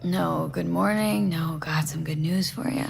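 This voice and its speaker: A woman playing a weary, sardonic mercenary in a video game, murmuring haltingly in a gravelly, breathy voice. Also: fairly low pitch, fairly even intonation, noisy background. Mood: weary, subdued. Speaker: patient under testing.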